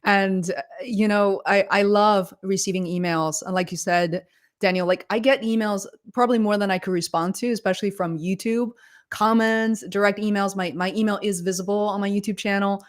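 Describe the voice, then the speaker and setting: A woman's voice: mid-range voice, fairly even intonation, moderate pace. A woman hosting a livestream interview over a video call, talking in an enthusiastic, effusive conversational way. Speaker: livestream host.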